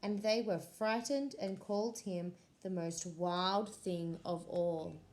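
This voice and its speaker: A woman, a school librarian, reading a picture book aloud to children in a slow, expressive voice. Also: lowish voice.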